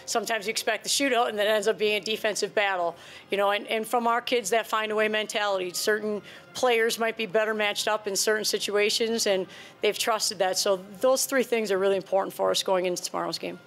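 A woman in her forties talks earnestly into a table microphone at a postgame press conference. She speaks at a mid pitch in a loose, conversational way and doubles up words as she restarts her thoughts. The sound is close and clean. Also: steady tone, a little fast, a bit bright. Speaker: head basketball coach. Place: press conference room before a sponsor backdrop.

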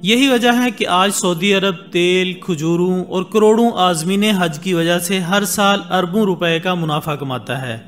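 A man reading a scripted documentary voice-over in formal Urdu, measured and steady, with Arabic religious phrases woven in. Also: high-pitched. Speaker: documentary narrator. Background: soft background music.

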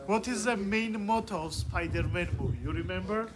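A man from Georgia with a Georgian accent, working as a tour guide and explaining simply and haltingly outdoors, often checking with his listeners. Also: sits high, a crowd in the background. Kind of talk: informal guided tour talk. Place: outdoors beside an old stone church and fortress. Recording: noisy outdoor phone video.

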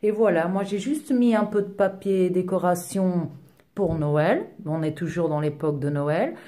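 A woman with a fairly low, warm and mellow voice guides viewers through a home decorating tutorial. Her first language is Portuguese, and she talks in a friendly, unhurried way, often pausing on fillers as she searches for words. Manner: friendly, hesitant with frequent fillers. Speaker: DIY decor vlogger. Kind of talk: tutorial voice-over.

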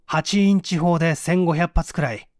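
A man's voice: on the high side, steady tone, moderate pace, dry recording, clean studio sound, natural voice. A man playing a bold, earnest researcher who speaks urgently and persuasively in an audiobook mystery. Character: earnest, bold young male researcher-detective.

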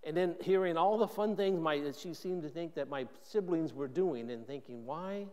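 A man's voice: a little high, normal speed, darkish tone. A man in his sixties, a pastor giving a conversational sermon, often restarting his sentences as he thinks aloud. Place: church sanctuary. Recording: long church reverb.

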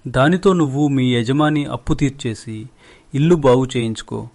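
Benevolent village boss played by a man, medium pitch. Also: normal speed, darkish tone, natural voice. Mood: generous. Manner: benevolent, paternal. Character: kind generous wealthy village employer. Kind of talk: Telugu moral cartoon dialogue.

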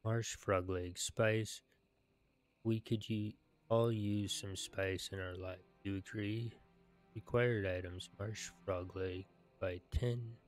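A man in his thirties narrating a game, reading quest text aloud in a measured, deliberate way. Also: on the low side, fairly even intonation. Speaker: gaming video narrator. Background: video game music.

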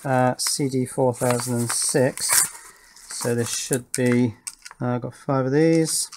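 Male, 50s, hobbyist electronics presenter. Mid-pitched, casual and matter-of-fact, thinking out loud while working. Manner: matter-of-fact, musing aloud. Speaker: electronics hobbyist and video host. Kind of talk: casual bench commentary while assembling a circuit board. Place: indoors at a home workbench.

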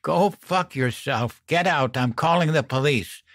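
A man's voice: a little high, measured pace, no echo, studio quality, pitched above the performer's own voice. A man voicing a distraught, defiant mother in an audiobook, with a harsh, tight, strained delivery. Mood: tearful defiance. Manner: anguished, strained confrontation.

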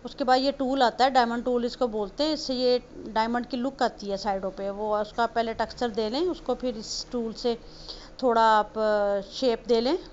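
A woman's voice: a little high, fairly even intonation, moderate pace. A woman from Punjab, Pakistan, casually explaining cake decorating step by step in a homely, chatty way. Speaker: home baker teaching cake decorating. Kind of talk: casual voice-over tutorial. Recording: slightly muffled voice-over with faint noise.

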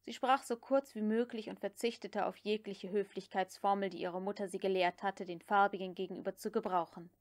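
A woman's voice: mid-range voice, steady tone, brisk, few pauses. A woman reading a novel aloud as an audiobook narrator, in scripted literary narration.

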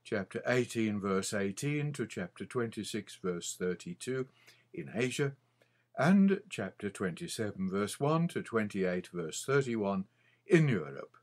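Slow-paced older man reading aloud. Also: lowish voice, very animated. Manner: measured, deliberate phrasing with frequent pauses. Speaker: author reading his Bible commentary. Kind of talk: scripted reading, Bible commentary.

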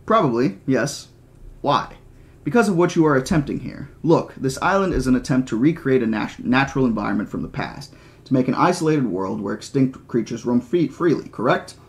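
A man voicing a condescending male mathematician in an audiobook reading, lecturing with flat, didactic certainty. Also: medium pitch, brisk, creaky. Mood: flatly insistent. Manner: didactic, flatly insistent.

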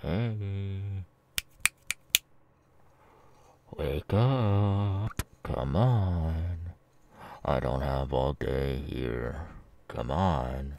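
A man voicing a menacing, mocking ex-convict kidnapper in an ASMR audio roleplay, with a halting, sneering drawl. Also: very deep voice, vocal fry, lo-fi sound, very noisy, heavily acted. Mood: taunting menace.

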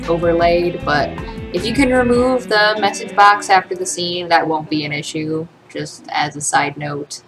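A young woman hosts a casual game-making tutorial. She talks through her steps in an informal way from a room at home. Music plays clearly behind her voice. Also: on the low side, moderate pace, poor audio. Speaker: tutorial host, game developer. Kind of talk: casual screen-recorded software tutorial with read-out dialogue. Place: small home room, indoors. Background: background music.

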